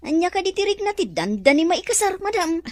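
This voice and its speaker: A woman's voice: extremely high pitch, dry recording, full character voice. A woman playing a cheeky, boyish tomboy servant in an audio drama, playful, naive and bantering. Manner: teasing, bantering.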